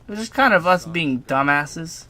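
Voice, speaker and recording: Bright-voiced young man telling a story. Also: on the high side, lively intonation, moderate pace. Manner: informal, swearing, self-deprecating asides. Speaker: storytime video narrator. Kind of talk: casual personal storytelling, partly read from a script.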